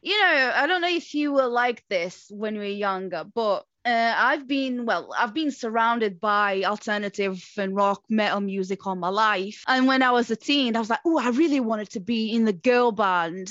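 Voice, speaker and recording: A woman's voice: on the high side, animated, moderate pace, hardly any pauses, a bit bright, no echo, highs cut off. A woman in her thirties from Portugal, hosting a podcast in a chatty, rambling way. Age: 30s. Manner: chatty, rambling with many fillers. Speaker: podcast host. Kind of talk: casual chat.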